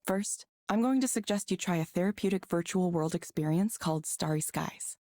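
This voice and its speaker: A woman voicing a calm, polite AI counselling program in a video game, with measured, scripted delivery. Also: lowish voice, no room sound, natural voice. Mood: calm, procedural. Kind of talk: scripted virtual assistant in a game.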